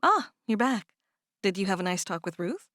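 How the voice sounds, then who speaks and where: A woman doing a gentle, reassuring big sister. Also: mid-range voice, sing-song, moderate pace, dry recording, studio quality. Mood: soothing. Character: kind, calm older sister, young woman.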